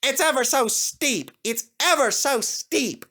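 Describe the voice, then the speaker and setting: A vain young steam engine, played by a man, speaks in a raised, very animated voice. He is boastful and impatient, exclaiming his lines like a character in a children's storybook. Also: extremely high pitch, takes it slowly, voice pushed up, heavily acted. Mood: straining.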